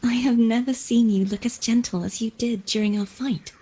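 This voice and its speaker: An actress playing a gentle, weary young noblewoman swordfighter who speaks softly and wistfully with a breathy voice in a game. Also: fairly high pitch, fairly even intonation, poor audio. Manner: soft, slow, wistful.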